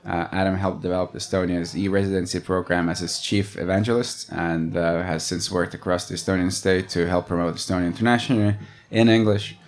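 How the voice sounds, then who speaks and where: A man's voice: low-pitched, steady tone, fairly quick, hardly any pauses. A man hosting an interview, introducing his guest and asking questions with many hesitations. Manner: hesitant, many fillers and restarts.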